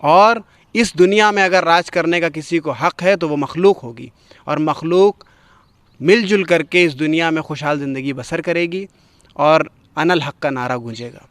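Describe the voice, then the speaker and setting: A man with a clear, resonant voice on the higher side presents literary commentary. His diction is formal and eloquent, with an orator's delivery. The sound is close and dry. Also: normal speed, fairly bright tone, no room sound. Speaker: host of a poetry programme. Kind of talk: literary commentary, explaining a poem.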